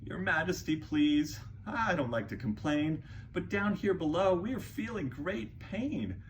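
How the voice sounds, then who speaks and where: A humble little turtle from a children's story, acted out by a man. The voice is raised high, courteous and plaintive, begging from the bottom of the heap. Faint noise sits behind it. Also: lively intonation, a little slow. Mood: pleading, pained. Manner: polite, pleading, deferential. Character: plain, meek, aching little male turtle underling.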